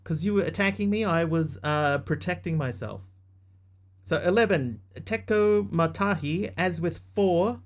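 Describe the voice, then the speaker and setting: A man from New Zealand with a New Zealand accent, streaming a game live and chatting casually with his viewers as he reads out their messages. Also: fairly high pitch. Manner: chatty, reads out chat and answers it. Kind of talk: casual live gaming chat.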